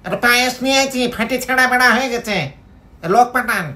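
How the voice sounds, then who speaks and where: A man's voice: very high-pitched, fairly even intonation, fast, sharp tone, heavily acted. A man with a Bangladeshi accent playing a pushy, impatient coconut seller and delivery guy on a prank call, nagging and mock-indignant.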